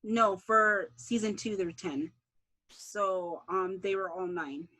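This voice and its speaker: A woman being interviewed as a writer, chatting casually in an animated, self-deprecating way. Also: mid-range voice, slow, low-quality recording.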